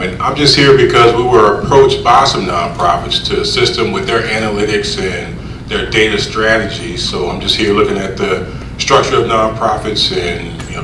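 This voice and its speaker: A man's voice: lowish voice, normal speed. A man working in data analytics, introducing himself casually. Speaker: analytics consultant. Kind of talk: self-introduction to a group. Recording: echoey room, distant mic, noise.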